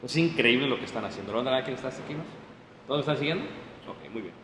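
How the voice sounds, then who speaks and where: A man preaching a lively sermon to his congregation, often stopping to check they follow. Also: mid-range voice, very fast. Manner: animated, addresses the congregation directly with frequent checks. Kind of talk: sermon, Bible class. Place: church hall. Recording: echoey hall, faint room noise.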